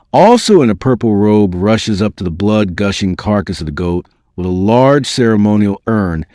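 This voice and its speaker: Low-voiced man narrating with lively, swooping pitch. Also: dry recording, clean studio sound. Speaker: narrator reading a book excerpt. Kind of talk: dramatic scripted audiobook narration.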